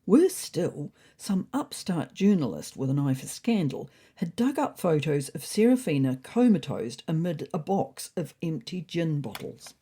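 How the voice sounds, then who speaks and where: Low, husky woman narrating comic fiction. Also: mellow. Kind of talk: scripted comic first-person storytelling.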